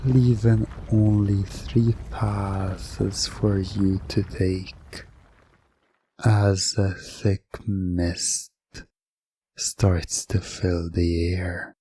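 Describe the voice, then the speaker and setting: A man narrating a scripted second-person hypnosis ASMR story in a hushed, suspenseful manner. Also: sits low, slight background noise. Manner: hushed, breathy at times, suspenseful.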